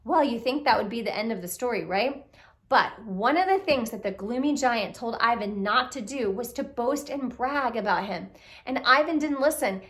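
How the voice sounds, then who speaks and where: A woman telling a children's puppet story in an expressive way, speaking directly to young listeners with questions and exclamations. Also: fairly high pitch, normal speed. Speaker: storyteller, puppet show reader.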